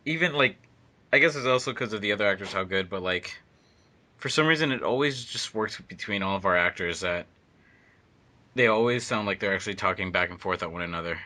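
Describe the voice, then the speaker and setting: A man's voice: on the low side, lively intonation, fairly quick, sharp tone. A man chatting on a fan commentary track, rambling with many fillers. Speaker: fandub editor and voice actor. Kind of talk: casual commentary chat.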